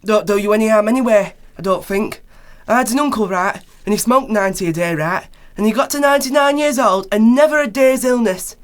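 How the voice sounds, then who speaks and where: A man's voice: high-pitched, fairly quick, lightly acted. A man playing a coarse, gossipy working-class joker in a radio play, rambling and colloquial. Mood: gossipy, blunt. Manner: rambling, colloquial, full of tag questions. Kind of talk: radio play character acting.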